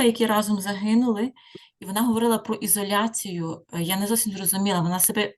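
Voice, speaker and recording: A woman participant asking a spontaneous question in a careful, hesitant way. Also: medium pitch.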